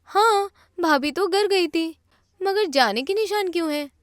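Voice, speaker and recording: Cartoon kid sister played by a woman narrator: very high, lively, nosy and teasing. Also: unhurried, no echo. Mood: curious, teasing. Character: groom's playful, suspicious young sister.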